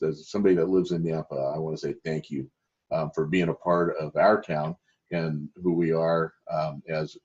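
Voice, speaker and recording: A man interviewing a local business owner, polite and halting with many "um"s. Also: rumbling low, a little mellow. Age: middle-aged or older. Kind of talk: community interview. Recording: dull lo-fi sound.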